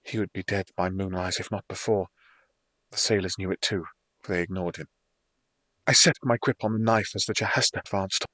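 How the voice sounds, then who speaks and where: Man narrating a dark fantasy audiobook, dry recording. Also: deep voice, steady tone, brisk, dry recording. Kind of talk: scripted dramatic first-person fantasy narration.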